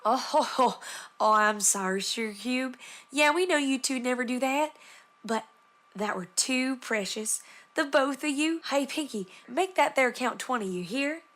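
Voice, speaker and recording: A woman's voice: high voice, measured pace, a bit bright. A woman voicing a folksy, plainspoken female farm pony in a cartoon story. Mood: amused.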